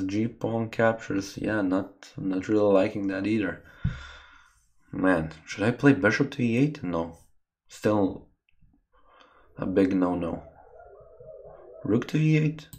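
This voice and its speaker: A young man with a very low, deep voice, streaming chess from a small room. He thinks aloud about his moves and chats with his viewers between them. He is recorded through a desk webcam mic. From Croatia. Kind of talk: live game commentary with chat.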